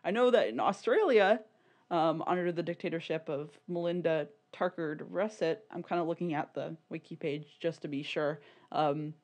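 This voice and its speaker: A woman with a slightly low voice talks casually as a podcast co-host. Her phrasing is informal and loose, sprinkled with "like" as she works through a point in discussion. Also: normal speed, darkish tone. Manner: informal, peppers talk with "like" and laughs easily.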